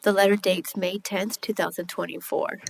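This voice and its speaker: A woman's voice: mid-range voice, little pitch movement, normal speed, creaky. A woman answering questions at a city hearing, hesitant and a little defensive, with many ums. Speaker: respondent at a hearing.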